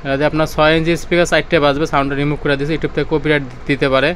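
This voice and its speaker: A man with a Bangladeshi accent giving an informal sales demonstration, often halting and repeating his phrases. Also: on the high side, flat delivery, a bit bright, a little hiss or hum. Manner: repetitive, halting, with restarts. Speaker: seller demonstrating used electronics.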